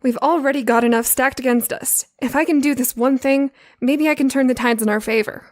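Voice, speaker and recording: High female voice, gentle young guard, acted by a woman, reassuring, hesitant, close dry sound. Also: a little fast, no room sound, close to an everyday voice. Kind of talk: audio drama acting.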